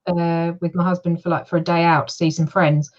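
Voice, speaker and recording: A woman's voice: lowish voice, little pitch movement, fairly quick, darkish tone. A woman from Suffolk with a southern English accent, a guest chatting casually, with a hesitant, filler-filled way of talking. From Suffolk, England. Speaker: guest, reseller. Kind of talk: casual interview chat. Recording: muffled lo-fi call audio.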